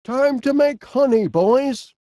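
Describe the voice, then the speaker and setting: A man's voice: very high-pitched, slow pace, warm tone, no room sound, studio quality. A man playing a cheerful middle-aged eccentric inventor in a cartoon video game, with a Northern English accent and a quaintly polite, dithering manner.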